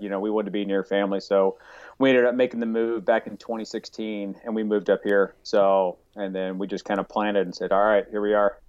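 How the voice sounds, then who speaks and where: Folksy man, low voice. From Arkansas. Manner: folksy, rambling, many fillers. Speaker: guest, rifle company owner. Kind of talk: casual interview chat. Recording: dull lo-fi audio.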